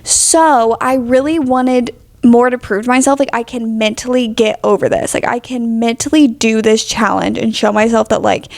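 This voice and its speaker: A young woman co-hosts a casual podcast chat, her voice a touch high and gravelly with vocal fry. She talks in a lively, chatty way and laughs easily. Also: normal speed, few pauses. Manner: chatty, animated, laughs often. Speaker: podcast co-host.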